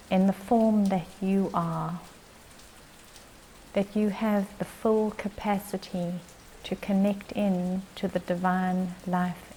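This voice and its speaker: A woman leading a guided meditation as its guide, speaking in gentle, short phrases with long pauses. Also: mid-range voice, a little hiss or hum, rough recording.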